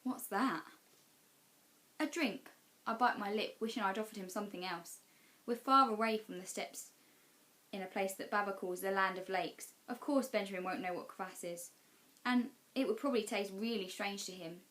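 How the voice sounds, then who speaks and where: A woman's voice: medium pitch, moderate pace, fairly bright tone. Woman reading a children's story aloud. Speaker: teacher reading class storytime. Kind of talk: expressive children's storybook narration.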